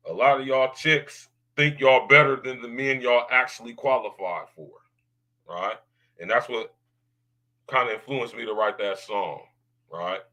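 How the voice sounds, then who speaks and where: A man with a deep baritone voice hosts his podcast in a loud, drawling monologue. He leans on slang and keeps tagging his lines with questions. His tone comes through bright and forceful. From United States. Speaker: podcast host.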